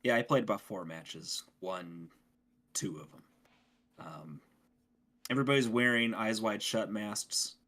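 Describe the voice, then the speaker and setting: A man's voice: fairly low pitch, unhurried, long pauses, a bit bright. A man giving a casual game review as a podcast co-host, recorded with slight noise. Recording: faint background noise.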